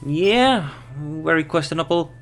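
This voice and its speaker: Slow, hesitant man. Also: fairly high pitch, lively intonation. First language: Finnish. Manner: hesitant, thinking aloud, correcting himself. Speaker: game reviewer. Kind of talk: unscripted game review.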